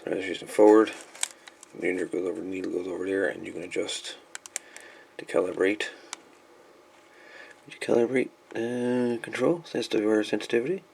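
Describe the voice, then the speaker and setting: A man with a gravelly voice giving a casual, folksy hands-on demonstration as a hobbyist repairer. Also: lowish voice, fairly even intonation, a little fast, long pauses, darkish tone, a little hiss or hum. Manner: informal, folksy, calls the radio "her". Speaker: radio repair hobbyist presenting a how-to video.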